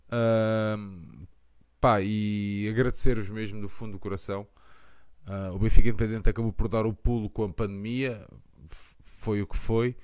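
Passionate Portuguese man, smooth dry voice. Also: lowish voice, no echo. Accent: European Portuguese. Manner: passionate, rambling, lots of fillers. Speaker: podcast host of a fan channel. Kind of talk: casual live chat.

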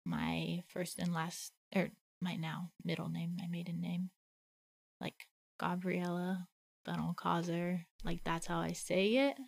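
Slow, gravelly-voiced woman musing aloud. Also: fairly low pitch, level tone. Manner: reflective, thinks aloud with many "like" fillers. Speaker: podcast co-host. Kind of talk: casual faith conversation.

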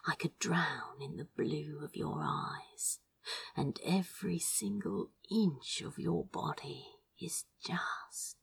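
A woman voicing a besotted, teasing male sorcerer in an audiobook, speaking tenderly in a breathy, half-whispered murmur. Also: low-pitched, animated, takes it slowly, distant mic, heavily acted. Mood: adoring.

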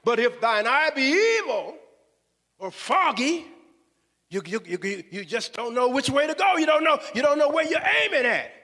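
A man's voice: extremely high pitch, sing-song, normal speed, pushed and bright. A man preaching a fervent sermon with scripture, exclaiming and repeating phrases for emphasis. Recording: echoey hall with long reverb.

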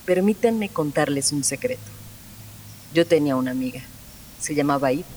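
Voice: medium pitch, animated, moderate pace, darkish tone, a little hiss or hum, lightly acted, low-quality recording. An actor playing a bitter, relentless officer interrogating suspects in a Spanish audio drama. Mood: grim, bitter.

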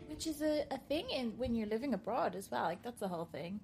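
A woman with an Irish accent chatting casually as a podcast guest, relaxed and quick to laugh. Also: on the high side, gravelly, background noise. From Ireland. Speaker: guest, bride-to-be. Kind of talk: casual chat.

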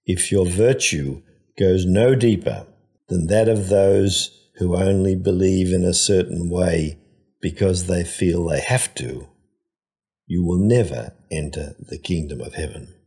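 A man giving a scripted devotional narration in a measured, reflective way, with a husky voice. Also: sits low, a little mellow.

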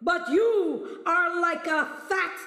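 A woman's voice: very high voice, slow pace, sharp tone, full character voice. A woman playing a stern, scornful Christmas spirit in a radio drama, declaiming and taunting. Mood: mocking, scornful. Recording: echoey hall reverb with music behind.

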